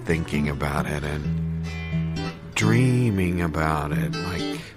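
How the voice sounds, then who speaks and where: A man playing a dreamy, discouraged amateur guitar student, musing haltingly in an improvised comic monologue. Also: rumbling low. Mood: discouraged, wistful. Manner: slow, halting, wistful musing.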